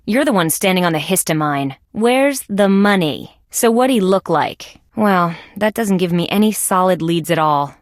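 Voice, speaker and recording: A woman's voice: fairly low pitch, animated, normal speed, hardly any pauses, dry recording, studio quality, natural voice. A woman voicing a tough, wisecracking female insect police detective in a video game, with a wry, sardonic delivery. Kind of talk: scripted game dialogue, detective banter.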